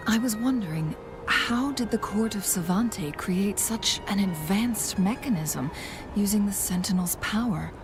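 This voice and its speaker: An actress playing a thoughtful young woman companion in a game, speaking in a measured, reflective way and often musing softly. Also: mid-range voice, close to an everyday voice. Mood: pondering. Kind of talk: scripted game dialogue. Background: game music.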